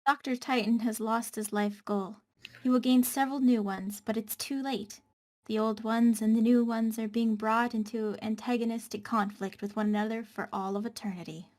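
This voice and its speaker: Woman, slightly high voice, matter-of-fact, scripted fantasy narration. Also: fairly even intonation, normal speed, a little mellow. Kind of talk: scripted narration in amateur table read.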